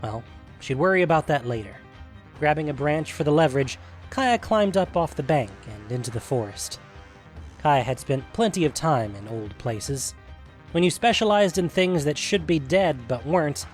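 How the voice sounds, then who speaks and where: A man's voice: on the high side, big pitch swings, moderate pace. Male fantasy story narrator over music.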